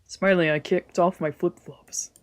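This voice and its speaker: Streamer reading as a soft-spoken, wry young man. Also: medium pitch, steady tone, a little slow, close to an everyday voice. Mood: wry, reflective. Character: brooding young man narrating, wry and guarded. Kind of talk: dramatic read-aloud of a visual novel.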